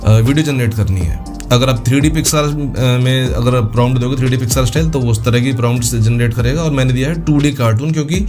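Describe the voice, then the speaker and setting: A man's voice: mid-range voice, quick pace, music in the background. A man hosting a casual step-by-step tutorial. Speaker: tutorial host. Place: voice-over for a computer screen recording.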